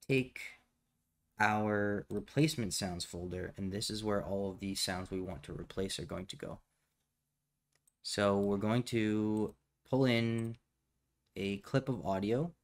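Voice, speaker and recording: A man with a fairly low voice walks through a software tutorial. He speaks in a plain, instructional manner, explaining things step by step as the presenter. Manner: matter-of-fact, instructional.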